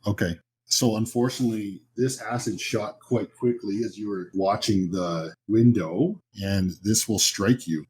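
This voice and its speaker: A man running a horror tabletop game, describing scenes informally and haltingly as game master. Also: lowish voice, a little mellow, a little hiss or hum, poor audio.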